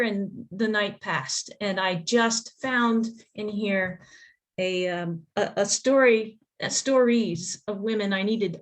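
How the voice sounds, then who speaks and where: A woman hosting a reading introduces the guest author on a video call, halting and full of restarts. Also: medium pitch. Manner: warm but halting, with restarts and fillers. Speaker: event host.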